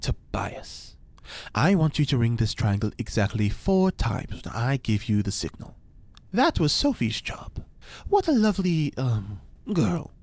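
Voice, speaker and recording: A man performs an eccentric occult scientist for a science fiction audio drama. He is pompous and theatrical, phrasing everything with formal precision and dramatic pauses. His mid-pitched voice swings widely up and down. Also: big pitch swings, a little mellow, dry recording. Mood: earnest. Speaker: fictional scientist being interviewed.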